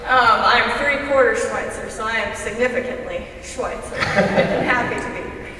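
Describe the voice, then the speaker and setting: American woman chatting to a crowd, highish clear-cut bright voice, echoey hall. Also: moderate pace. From rural Kansas, USA. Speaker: readers theater performer. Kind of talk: informal self-introduction to an audience. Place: large echoey room before a live audience. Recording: echoing hall, distant mic, faint noise.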